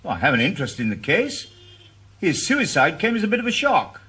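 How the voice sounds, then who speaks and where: A man's voice: on the high side, huge pitch swings, brisk, sharp tone, slightly rough, heavily acted. An actor playing a wry, stubborn retired male detective in a radio drama. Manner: dry, wisecracking. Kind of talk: 1980s radio drama acting.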